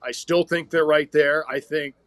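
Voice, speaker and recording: A man's voice: a little high, fairly even intonation, moderate pace, fairly bright tone, no echo. An American man, a radio hockey announcer, talking and telling stories conversationally as a podcast guest. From Minnesota, United States.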